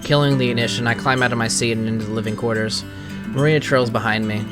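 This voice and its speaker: A man's voice: medium pitch, steady tone, quick pace, music in the background. A man streaming a game, reading story narration aloud and giving casual commentary as host. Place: game playthrough recording with music behind.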